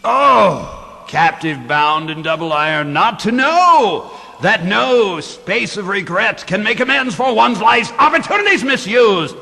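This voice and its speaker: A tormented ghost of a dead miser in a live radio play, wails and declaims in a high, gravelly voice. The delivery is mournful and theatrical, with a sharp edge. It rings in a long hall reverb with music behind. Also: male voice, lively intonation, a little slow, heavily acted. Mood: anguished wailing. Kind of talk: live radio drama acting.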